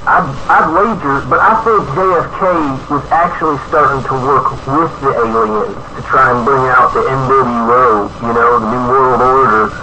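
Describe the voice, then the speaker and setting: Male actor as folksy UFO conspiracy author, mid-pitched, rambling and insistent, on a hissy vintage radio tape. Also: normal speed, few pauses. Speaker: interview guest, author. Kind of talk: improvised-sounding radio interview. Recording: noisy old cassette radio broadcast.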